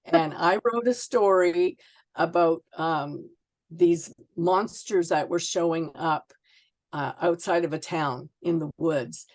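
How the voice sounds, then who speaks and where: A woman's voice: lowish voice, slow, darkish tone, low-quality recording. A Canadian woman in her sixties from Ontario, chatting and telling anecdotes as a guest author in a casual interview. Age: 60s.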